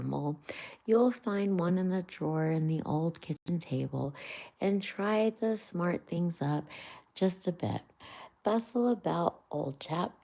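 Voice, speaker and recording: A woman's voice: fairly low pitch, vocal fry, warm tone, exaggerated voice. A woman voicing a cheerful, bustling male water rat in a storybook reading, hearty and encouraging.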